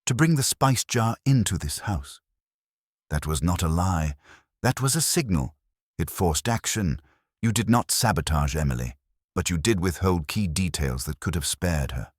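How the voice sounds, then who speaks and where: Male reader as grave storybook sleuth, bottom-register, pronouncing verdicts with deliberate weight and varied intonation. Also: low-pitched, lively intonation, no room sound, clean studio sound. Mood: grave, certain. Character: stern, deliberate male detective, grave and unhurried.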